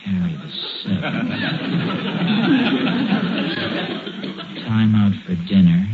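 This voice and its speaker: A man's voice: sits low, moderate pace, close to an everyday voice. An American man playing a bumbling, stubborn suburban dad who hems and haws through a 1940s radio comedy. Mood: pondering. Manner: hesitant, hemming and hawing. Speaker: sitcom lead. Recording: muffled, noisy vintage radio broadcast.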